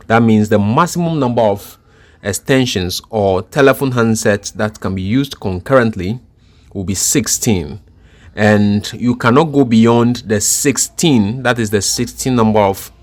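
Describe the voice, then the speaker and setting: Male tech instructor, lowish voice, explains step by step without a script, restating key points. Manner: methodical, repeats points for emphasis. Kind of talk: explanatory tech tutorial, unscripted.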